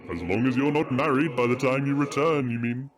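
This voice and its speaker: A man playing a wise, teasing middle-aged father king in a fantasy audio drama, measured and playful. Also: mid-range voice, close to an everyday voice. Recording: music under the voice.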